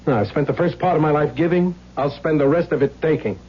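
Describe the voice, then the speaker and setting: A man's voice: a little high, fast talker, lightly acted. An actor playing a troubled, self-doubting husband in an old-time radio drama, plaintive and given to repeating his words. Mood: resigned. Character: troubled, self-doubting husband who turns bitter and defiant. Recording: muffled, noisy old radio recording.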